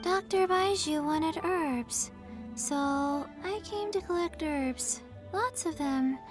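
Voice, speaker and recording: A small undead girl from a fantasy game. She talks slowly in a high childlike voice, halting and deadpan yet earnest, over music. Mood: earnest, flat. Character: little zombie girl herb gatherer, flat and earnest. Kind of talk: video game voice acting.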